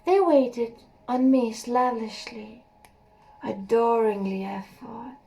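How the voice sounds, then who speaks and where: A woman reading a first-person story aloud as an audiobook, soft and unhurried, sometimes sinking to a near whisper. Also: on the high side, creaky, low-quality recording. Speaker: audiobook reader.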